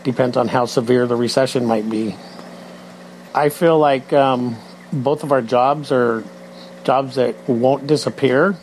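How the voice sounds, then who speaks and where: A man's voice: mid-range voice, fairly even intonation, measured pace, a little mellow, slight background noise, rough recording. A sixty-year-old man giving casual answers as an interviewed member of the public. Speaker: poll respondent.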